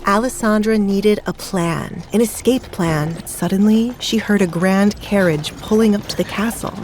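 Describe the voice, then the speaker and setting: A woman's voice: medium pitch, normal speed, darkish tone, a little hiss or hum. A woman reading scripted dramatic narration as the narrator of an audio story.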